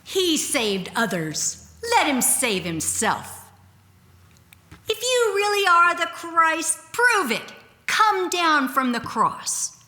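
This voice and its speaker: A woman's voice: very high voice, very animated, takes it slowly, sharp tone, reverberant, pitched above the performer's own voice, full character voice. A woman voicing a mocking, jeering member of an execution crowd in a dramatic reading. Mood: mocking, shouting.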